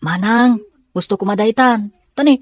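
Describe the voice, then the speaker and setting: A woman plays a patient young schoolmate in an audio drama. Her voice is mid-pitched and closely recorded without room sound, with an Ilocano accent and a placating manner. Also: moderate pace, no room sound, lightly acted.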